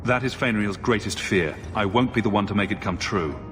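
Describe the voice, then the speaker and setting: An actor playing a wry, defiant hero in a fantasy game, with a sardonic, quipping manner. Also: male voice, on the low side, brisk, a bit bright, faint music behind, natural voice. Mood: resolute.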